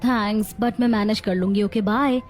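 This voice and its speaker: A woman's voice: mid-range voice, steady tone, normal speed, darkish tone, faint music behind, natural voice. A woman playing a generous, contented young businesswoman and daughter-in-law in an acted family story. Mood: agreeable.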